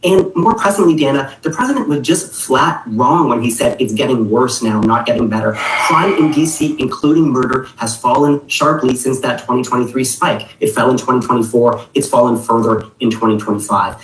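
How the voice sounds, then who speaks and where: A man's voice: mid-range voice, fairly quick, few pauses. Fact-checking newsman, distant and echoey. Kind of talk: broadcast news analysis.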